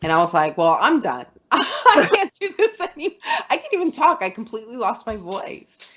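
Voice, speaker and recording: A woman's voice: fairly high pitch, huge pitch swings, moderate pace, a bit bright, dull audio. A woman podcast host in casual conversation, telling personal stories in a chatty way and laughing often.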